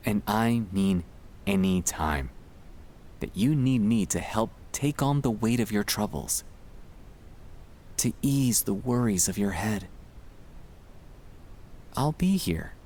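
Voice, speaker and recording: A man narrating a sleep-aid ASMR monologue in a gentle, hushed, soothing and reassuring manner. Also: fairly low pitch, expressive, takes it slowly, long pauses. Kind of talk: ASMR guided relaxation, scripted comfort monologue.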